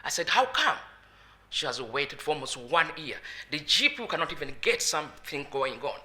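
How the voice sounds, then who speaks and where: A woman speaking as a public governance advisor at a conference, with a loud and emphatic way of talking. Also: low-pitched, sing-song, normal speed. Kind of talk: conference talk. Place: conference hall.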